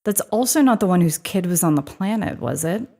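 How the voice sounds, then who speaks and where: A woman's voice: fairly low pitch, expressive, a little fast, mellow. A woman playing a blunt, nosy, flippant crew companion in a casual sci-fi audio drama.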